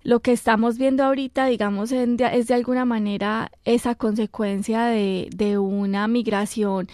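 Colombian woman, immigration lawyer, higher voice, dry close sound, measured explaining. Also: fairly even intonation, darkish tone, no echo. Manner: measured, explanatory, many fillers. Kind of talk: radio call-in advice talk.

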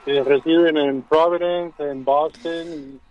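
A man's voice: on the high side, steady tone, slow pace, a little mellow. A man calling into a radio show, polite and friendly. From El Salvador. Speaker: caller. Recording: muffled phone line.

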